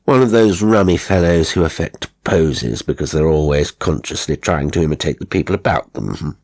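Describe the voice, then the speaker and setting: Man playing puzzled, halting British gentleman. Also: extremely low pitch. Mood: puzzled, indignant. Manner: slow, halting, emphatic old-fashioned speech. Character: earnest, puzzled British gentleman writer, slow-spoken.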